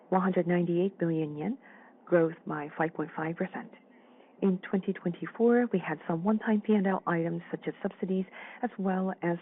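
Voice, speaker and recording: A speaker interpreting a formal financial results presentation on a conference call, with measured, halting phrasing. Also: mid-range voice, a little hiss or hum. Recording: dull, band-limited phone-line audio.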